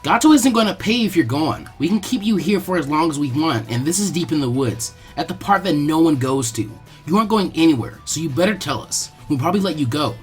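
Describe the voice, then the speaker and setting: A man's voice: a little high, animated, brisk, hardly any pauses, faint music behind, natural voice. A man voicing a brash, cocky ninja boy with a taunting, tough manner in a story reading.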